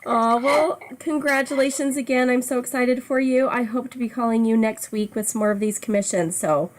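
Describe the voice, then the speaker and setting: Upbeat woman host, higher pitch, faint noise behind her. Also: normal speed. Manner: encouraging, upbeat. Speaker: interviewer. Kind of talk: casual phone interview.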